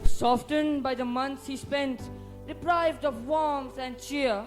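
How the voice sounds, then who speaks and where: High, slow storyteller voice over music. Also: fairly even intonation. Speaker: narrator. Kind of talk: theatrical storybook narration.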